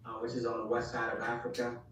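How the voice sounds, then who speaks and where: A man from Ghana introducing himself as a guest accountant in a steady, polite, businesslike way. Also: mid-range voice, fast, heavy reverb, recorded from a distance, faint music behind, poor audio. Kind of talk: self-introduction on a web call.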